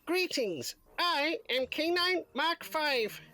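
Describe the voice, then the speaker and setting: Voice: high voice, expressive, takes it slowly, sharp tone, exaggerated voice. A performer voicing an obedient robot dog with a stiff, formal manner in a sci-fi audio drama. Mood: formal, helpful.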